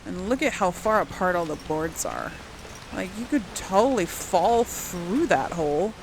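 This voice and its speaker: Casting: female let's play host, casual and chatty, noticeable vocal fry, mid pitch, faint game ambience behind. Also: expressive, unhurried, noisy background. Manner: chatty, musing aloud. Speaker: gaming video host.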